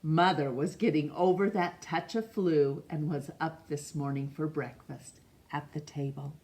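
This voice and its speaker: A middle-aged woman reading a picture book aloud in a measured, expressive way for children. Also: low voice. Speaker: storytime reader. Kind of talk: read-aloud storybook narration. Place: small room indoors.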